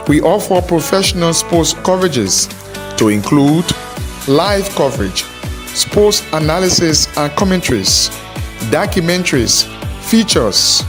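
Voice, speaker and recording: A man reading a scripted television promo as an announcer. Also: fairly high pitch. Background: music bed.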